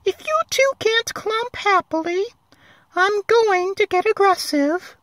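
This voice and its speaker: A man voicing a bossy, earnest young witch girl in a squeaky falsetto for a story reading. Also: slow, a bit bright, dry recording, heavily acted. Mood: indignant.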